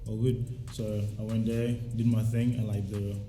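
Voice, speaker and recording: A young man talks through his thoughts in a casual interview. His voice is very mellow and soft-edged, and his delivery is slangy, reflective and easygoing. The sound is roomy, with music quietly playing behind him. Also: lowish voice, flat delivery, normal speed. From South Sudan, raised in Australia. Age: young adult. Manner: laid-back, slangy, reflective. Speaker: musician, rapper and producer being interviewed.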